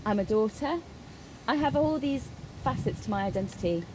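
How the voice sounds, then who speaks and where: A woman voicing a signed personal statement as an interpreter, in halting phrases with pauses. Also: expressive. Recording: clear background noise, ambient rumble.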